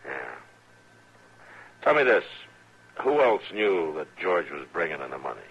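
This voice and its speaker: An actor playing a steady, authoritative American frontier lawman, terse, measured and dry, in 1950s radio western style. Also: extremely low pitch, gravelly, husky, exaggerated voice. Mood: measured, reassuring. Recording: muffled, hissy old radio recording.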